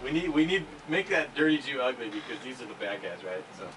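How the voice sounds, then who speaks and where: A man's voice: medium pitch, big pitch swings, quick pace, a crowd in the background. A man chatting casually and jokily while running a voice acting rehearsal. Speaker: voice actor at a rehearsal. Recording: distant mic, noisy room ambience.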